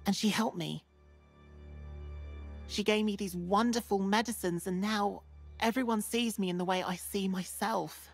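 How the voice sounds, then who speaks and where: A woman playing a gentle, earnest fen dweller in a video game, speaking in a sincere, measured way. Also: medium pitch, fairly even intonation, vocal fry, slightly rough, faint music behind. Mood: earnest, confiding. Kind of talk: video game character dialogue.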